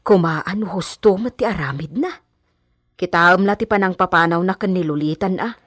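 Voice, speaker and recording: An actress with an Ilocano accent playing a gossipy, outspoken hacienda maid in a radio drama. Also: female voice, fairly low pitch, moderate pace, fairly bright tone, dry recording, studio quality.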